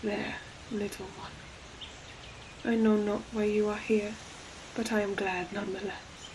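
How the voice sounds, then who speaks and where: A woman voicing an ancient, gentle forest demon in a scripted audio roleplay, speaking tenderly in archaic storybook phrasing. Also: medium pitch, little pitch movement, measured pace, natural voice, poor audio. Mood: solemn, fond. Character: ancient gentle forest demon, hulking moss-covered creature. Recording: clear forest ambience noise behind voice. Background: forest nature sound effects with animals.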